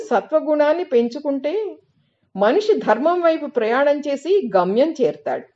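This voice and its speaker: A woman reading a scripted spiritual essay as narration, with measured, deliberate phrasing and pauses. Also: a little high, mellow, highs cut off. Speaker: reader of literary excerpts.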